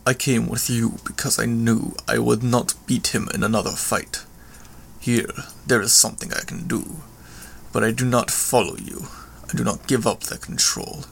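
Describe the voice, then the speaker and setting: A man voicing a proud, brutal supervillain with clawed hands, speaking in growled, halting phrases in an audiobook. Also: medium pitch, steady tone, gravelly, fairly bright tone, slight background noise. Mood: proud, menacing. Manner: growling, halting, clipped foreign-sounding phrasing.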